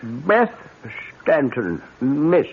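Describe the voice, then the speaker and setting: Deferential sergeant, slow, raspy, high voice, tinny radio-play sound. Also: male voice, sing-song, full character voice. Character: dutiful British police sergeant, deferential, precise. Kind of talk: 1970s radio drama acting. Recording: tinny old radio broadcast.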